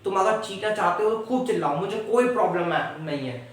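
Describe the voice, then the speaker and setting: An exhausted male schoolteacher, as a sketch performer plays him, ranting on and on at his class in mixed Hindi and English. The voice sits at a middling pitch and comes from some way off the mic. The room around it is very echoey. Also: fast, far from the mic, lightly acted. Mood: exasperated. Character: fed-up male schoolteacher, tired. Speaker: teacher in a classroom skit. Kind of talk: comedy sketch acting.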